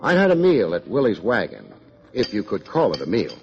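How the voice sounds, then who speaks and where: An American actor playing a wry, hard-boiled male private detective in the style of 1950s radio drama, wisecracking in a deadpan way. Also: lowish voice, fairly quick, faint music behind. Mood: wry narration. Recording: dull lo-fi old radio recording.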